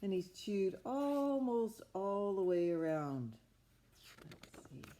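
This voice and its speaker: A woman's voice: mid-range voice, sing-song, slow, dark tone, a little hiss or hum. A woman improvising a story from a picture book, talking expressively and with wonder, as if to young children. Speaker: storybook narrator.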